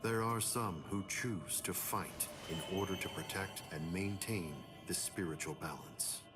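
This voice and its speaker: Deep, solemn, ominous game narrator. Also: male voice, measured pace, over music. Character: solemn, ominous male narrator of death and souls. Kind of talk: dramatic scripted game cutscene narration.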